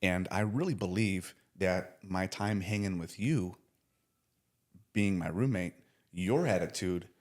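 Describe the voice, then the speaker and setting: Podcast host, man, 40s, very low voice, earnest and casual. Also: a little slow. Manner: earnest, warm praise with casual slang. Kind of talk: casual interview chat.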